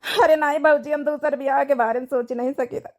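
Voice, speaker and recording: A woman's voice: sits high, steady tone, brisk, a little mellow, lightly acted. A woman voicing a sorrowful young widowed father in a cartoon, speaking plaintively with an Awadhi accent. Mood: grieving. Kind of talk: dramatic cartoon dialogue in dialect.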